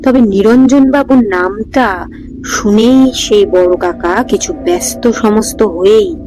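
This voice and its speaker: A woman host narrating a ghost story in a steady, unhurried reading voice. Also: mid-range voice, rough recording. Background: background music.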